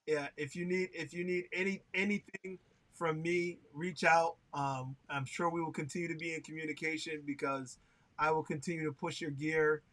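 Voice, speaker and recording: A man's voice: on the high side, fairly even intonation, normal speed, a bit bright. A man hosting a casual livestream interview, chatty and laughing often, with lots of filler words. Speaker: show host.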